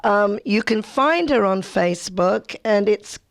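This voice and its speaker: A woman hosting an interview show, loud and rambling, often cutting herself off mid-sentence. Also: mid-range voice, expressive, unhurried, gravelly. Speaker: interview host. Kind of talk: casual interview.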